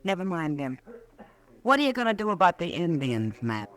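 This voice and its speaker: A woman's voice: lowish voice, big pitch swings, normal speed. An actress playing a teasing, flirtatious frontier saloon woman in a radio western, with an American accent and a playful, needling manner. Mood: curious, sly. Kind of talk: old-time radio western acting.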